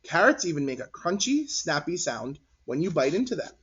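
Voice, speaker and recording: Animated husky man reading a children's book. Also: a little high, moderate pace. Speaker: read-aloud storyteller. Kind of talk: animated picture-book read-aloud for children. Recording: dull, lo-fi recording.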